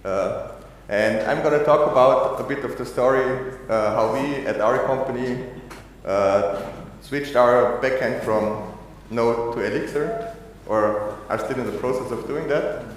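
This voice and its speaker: A man from Austria giving a technical conference talk, halting with many fillers and restarts. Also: medium pitch, slight background noise. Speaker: conference speaker. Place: conference hall. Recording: echoey hall, long reverb.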